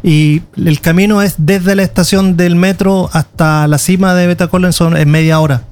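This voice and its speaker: A man's voice: high-pitched, steady tone, darkish tone. Chilean man, chatty tour guide. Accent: Chilean Spanish. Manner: chatty, restarts often, draws out pauses. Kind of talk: casual conversation.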